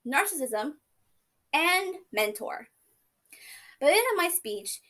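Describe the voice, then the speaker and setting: A young woman giving a class speech, telling stories in a playful, casual way. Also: a little high. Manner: lively, playful storytelling with casual asides. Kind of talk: informative speech.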